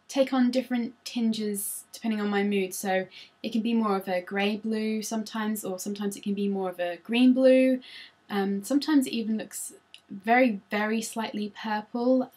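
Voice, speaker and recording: A woman's voice: mid-range voice, a little mellow, husky. A woman, chatting casually through tag questions on her vlog with frequent ums, recorded on a laptop. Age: 20s. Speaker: vlogger.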